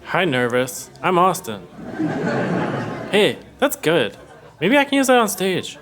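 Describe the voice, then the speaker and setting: A man's voice: sits high, huge pitch swings, takes it slowly, a bit bright, heavily acted. A man playing a chipper, jokey young aspiring comedian in a podcast sitcom. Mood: upbeat. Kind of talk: sitcom acting.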